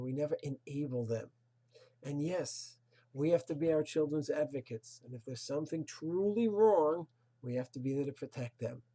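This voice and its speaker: A rabbi delivers a Torah lesson in a husky, slightly breathy voice. His tone is dark and mellow, earnest and moralizing, and he leans on rhetorical questions and Hebrew terms. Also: on the high side. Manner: sprinkles Hebrew and Yiddish terms. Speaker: rabbi teaching a Torah class. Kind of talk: recorded religious lecture.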